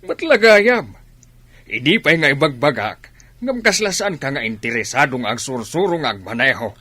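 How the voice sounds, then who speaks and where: A man's voice: medium pitch, big pitch swings, normal speed, sharp tone, breathy edge, no echo, full character voice. A man with an Ilocano accent playing an authoritative, well-off father in a radio drama. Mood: questioning, firm.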